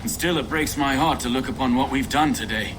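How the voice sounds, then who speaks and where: An actor playing a doubtful Saxon ally in a game, hesitant and regretful. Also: male voice, mid-range voice, brisk, background noise, natural voice. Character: doubtful Saxon ally torn over the battle.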